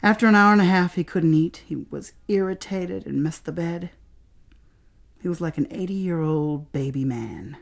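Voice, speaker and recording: An American woman performing dramatic spoken-word storytelling in a theatrical way, with deliberate pauses and emphasis. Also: on the low side, creaky.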